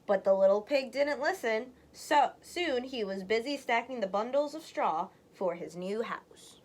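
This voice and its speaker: Animated, high-voiced woman reading a storybook. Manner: expressive, deliberate storytelling. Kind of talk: children's read-aloud narration.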